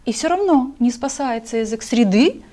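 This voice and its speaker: A woman's voice: a little high, expressive, moderate pace, warm tone. A woman from Gagauzia hosting an interview, asking pointed, pressing questions. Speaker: interview host.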